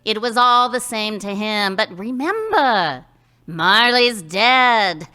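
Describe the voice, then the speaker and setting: A woman's voice: on the high side, takes it slowly, bright, forceful tone. A woman co-narrating a live radio play with playful storytelling.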